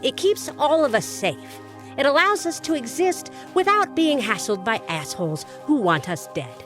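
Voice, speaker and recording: Woman playing a lively, high-voiced streetwise vampire mentor. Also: normal speed, music in the background. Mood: reassuring, frank. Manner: informal, reassuring street talk. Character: female vampire mentor, streetwise, protective and frank. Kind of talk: audio drama acting.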